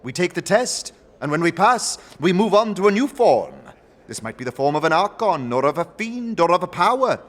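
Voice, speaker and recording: A man's voice: high-pitched, sing-song, moderate pace, big-room sound, voice pushed up. A man voicing a sturdy, jovial older faction leader in a fantasy audio drama, with grand, expansive, theatrical phrasing. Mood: earnest, zealous.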